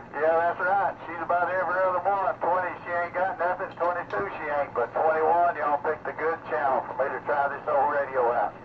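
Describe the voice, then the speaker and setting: A man's voice: a little high, steady tone, normal speed. A man with a Southern US accent calling in for casual, folksy chat over CB radio. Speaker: CB radio caller. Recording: tinny, distant, very noisy CB transmission. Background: clear radio static.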